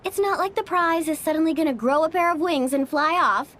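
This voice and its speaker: Bubbly, high-voiced young guide. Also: female voice, brisk, a bit bright. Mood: reassuring. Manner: exclamatory, playful. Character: bubbly young female tour guide, upbeat, easygoing. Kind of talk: anime-style video game acting.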